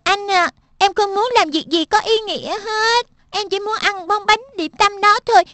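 A woman's voice: very high voice, normal speed, crowd noise. A woman voicing an eager, stubborn little boy in a cartoon dub, whiny and exclamatory. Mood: pleading.

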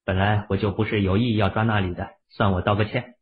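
A man playing an unflustered young man who speaks casually and matter-of-factly, in a low voice. The sound is tinny and phone-like. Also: little pitch movement, quick pace, lightly acted. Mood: offhand.

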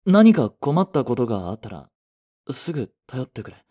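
A man playing a blunt, devoted young boyfriend in a romantic voice drama, with a breathy voice and heavy emotion. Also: medium pitch, big pitch swings, normal speed, mellow. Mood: reassuring. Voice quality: breathy, slightly husky. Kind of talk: romantic Japanese voice drama acting.

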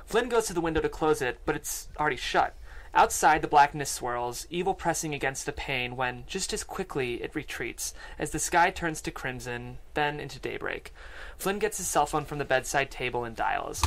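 Table read narrator, mid-pitched voice. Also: animated, moderate pace, creaky, a bit bright, poor audio. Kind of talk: scripted narration of stage directions. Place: podcast studio. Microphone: studio microphone and headphones.

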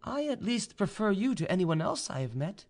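A haughty young noblewoman from a storybook romance, acted out by a male reader. The voice is lifted high and moves with lively pitch, deliberate and imperious in every phrase. It sounds proud, composed and used to being obeyed. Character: proud, haughty young woman, coolly commanding in love. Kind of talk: audiobook character dialogue.

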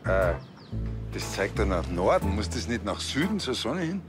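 A country policeman with a Bavarian accent in a crime comedy. He rambles in a colloquial, slightly awkward, grumbling way at a medium pitch, with music clearly behind him. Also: sing-song, normal speed.

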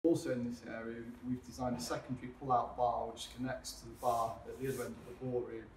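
A male architect giving a measured, explanatory walkthrough of a renovation site, filmed by a camera mic in a large hall under renovation. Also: mid-range voice, level tone, over music. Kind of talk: scripted walkthrough presentation. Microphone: camera mic from a few metres away. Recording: roomy echo, distant mic, clear background noise.

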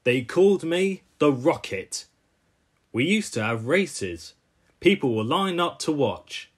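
An elderly, rusty cartoon steam engine, played by a man, looks back proudly on younger days. He reminisces like a storyteller at a slow pace. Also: a little high, very animated, slightly rough, pitched above the performer's own voice, exaggerated voice. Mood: proud, nostalgic.

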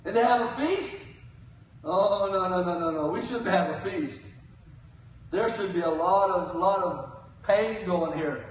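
A man's voice: sits high, a little slow, distant mic. Older male preacher in an echoey church. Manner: preaching, swings from loud cries to quiet asides. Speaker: guest preacher. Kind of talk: sermon. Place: church sanctuary, at a pulpit. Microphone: pulpit mic, filmed from the pews.

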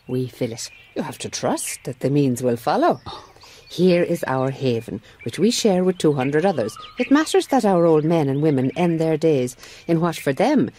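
A shrewd, devout Irish Mother Superior in a radio drama. Her voice sits very low, and she speaks with gentle firmness and quiet conviction. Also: sing-song, normal speed, a little hiss or hum. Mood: firm, gentle. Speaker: narrator and character actress. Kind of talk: radio drama narration and acting.

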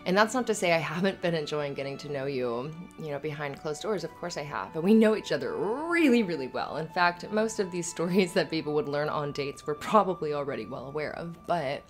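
A woman playing an affectionate, playful girlfriend in an intimate ASMR roleplay, soft-spoken and hesitant. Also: low-pitched, lively intonation, a little fast, few pauses, soft background music. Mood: tender, musing.

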